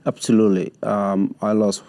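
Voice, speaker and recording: A man from Sudan, answering interview questions in a measured, halting way with grammar. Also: fairly low pitch, level tone, dark tone, dry recording. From South Sudan. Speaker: guest, community leader.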